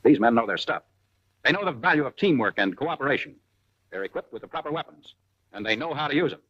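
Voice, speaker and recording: A man's voice: a little high, animated, fast talker, a bit bright, slightly rough, lo-fi sound. An American man narrating a 1940s military training film from a script, in a punchy, colloquial style full of wartime slang, on a vintage film soundtrack.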